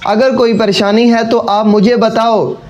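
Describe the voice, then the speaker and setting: Man as friendly cartoon neighbour, concerned, really high for a guy. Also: little pitch movement, measured pace, darkish tone, lo-fi sound. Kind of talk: cartoon story dialogue.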